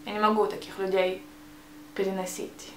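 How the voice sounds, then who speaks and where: A young woman with a Hebrew accent talks straight to the camera in a casual vlog, searching for words and restarting them as she goes. Her voice sits at an ordinary middle pitch. The small room rings noticeably, and a faint hum runs underneath. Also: flat delivery, normal speed, reverberant, low-quality recording. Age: young adult. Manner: hesitant, restarts words while searching for Russian. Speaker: vlogger. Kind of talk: casual tag video answering questions. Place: small bright room, indoors. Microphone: camera mic, seated facing camera.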